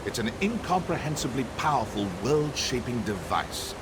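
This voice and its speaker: A man's voice: medium pitch, very animated, normal speed, very noisy, lightly acted. A man playing an arrogant, cryptic elven sorcerer scholar in a video game, theatrical, condescending and dryly sardonic. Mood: dry, explaining. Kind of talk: scripted video game dialogue. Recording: music under the voice.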